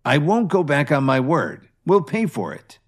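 A man voicing a brash, drunk young man who blusters and protests loudly. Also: on the high side, wildly swooping pitch, a little slow, pitched above the performer's own voice, full character voice. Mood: outraged. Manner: loud, indignant.

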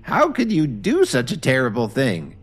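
A man voicing a stern elderly grandmother who scolds her grandson, high and slow. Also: huge pitch swings, slightly rough, full character voice.

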